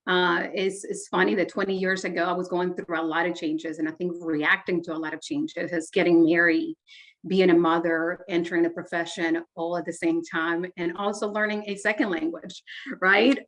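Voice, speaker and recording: Earnest woman from Honduras, low voice. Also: flat delivery, normal speed, a bit bright, poor audio. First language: Spanish. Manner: earnest, reflective, frequent fillers and restarts. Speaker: guest. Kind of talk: casual interview conversation.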